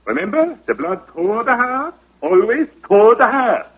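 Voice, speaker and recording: Grand windbag doctor in old radio show, lowish voice that swoops around, tinny narrow sound. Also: male voice, big pitch swings, normal speed, background noise. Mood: emphatic. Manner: pompous, florid, theatrical. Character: pompous health club owner, self-styled doctor, grandly verbose. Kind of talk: 1940s-50s radio acting. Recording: old radio broadcast, very narrow muffled band.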